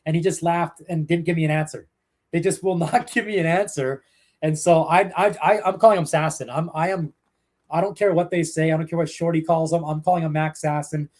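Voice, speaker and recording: A man's voice: on the high side, steady tone, a little fast, darkish tone. A young Canadian man, a hockey broadcaster, answering interview questions in a chatty, storytelling way with many fillers and restarts. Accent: Canadian English. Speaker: hockey play-by-play broadcaster, guest.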